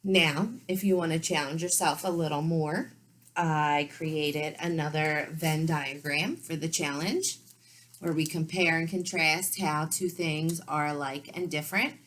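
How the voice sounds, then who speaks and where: A woman's voice: low-pitched, measured pace, fairly bright tone. A woman teacher giving lesson instructions to young children in an informal, explanatory way. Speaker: second grade teacher.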